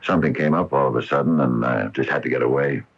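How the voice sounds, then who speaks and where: An actor playing an earnest, stubborn, plain-spoken small-town store owner in a radio mystery drama. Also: male voice, rumbling low, fast talker, breathy edge, heavily acted. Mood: sheepish, coaxing. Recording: dull lo-fi 1980 radio broadcast.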